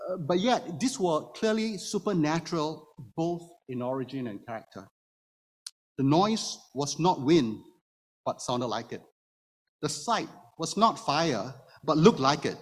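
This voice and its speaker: A man's voice: on the high side, lively intonation, a little slow. A man who is a native Hokkien speaker, preaching an explanatory sermon to a congregation and putting rhetorical questions to his listeners. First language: Hokkien Chinese. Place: church room before a congregation. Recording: reverberant hall, long echo.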